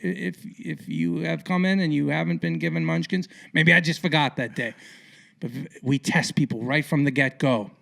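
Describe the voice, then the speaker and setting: A man hosting a casual podcast interview in a colloquial, theatrical way with punchy, emphatic phrasing and a gravelly voice. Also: fairly high pitch, moderate pace, fairly bright tone. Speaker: podcast host.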